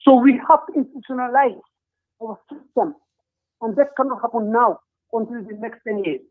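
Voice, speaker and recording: A man with a strikingly high voice, calling in over a thin, tinny phone line. He talks earnestly and deliberately, stopping often to hesitate as he lays out his points in a political discussion. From Gambia.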